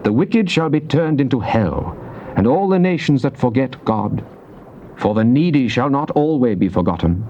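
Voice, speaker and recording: A British actor playing a fervent psalmist in a dramatized King James Bible reading, declaiming solemnly and deliberately. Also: medium pitch, expressive, close to an everyday voice. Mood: imploring, stern. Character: psalmist, fervent man praying and praising God. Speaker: scripture reader. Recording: dull, noisy cassette tape transfer.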